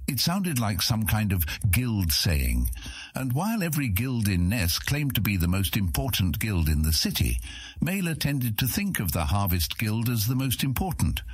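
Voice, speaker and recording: A man's voice: on the low side, big pitch swings, moderate pace, slightly rough, no room sound. A man narrating a fantasy audiobook in a dramatic, scripted storytelling style.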